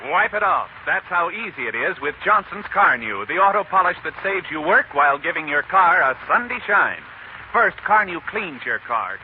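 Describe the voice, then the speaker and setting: A man reading a scripted 1940s radio advertisement in a punchy, emphatic pitchman style on an old recording. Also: lo-fi sound, a little hiss or hum. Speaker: commercial announcer.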